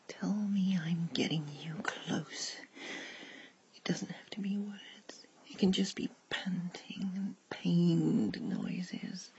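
A woman mostly whispering in a breathy voice, narrating an intimate adult audio roleplay to the listener. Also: medium pitch, mellow, muffled recording.

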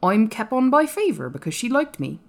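A woman's voice: fairly high pitch, very animated, moderate pace, gravelly, pitched above the performer's own voice, heavily acted. A woman voicing a crabbed but tender old male gardener with a Yorkshire accent, dry and shrewd, in a storybook. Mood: gruff, fond.

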